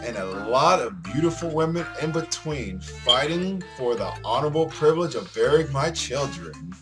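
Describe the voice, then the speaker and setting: A man voicing a cocky, girl-crazy high school boy from a visual novel, bragging theatrically. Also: expressive, normal speed, lightly acted. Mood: boastful. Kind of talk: visual novel character read aloud. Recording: music behind the voice.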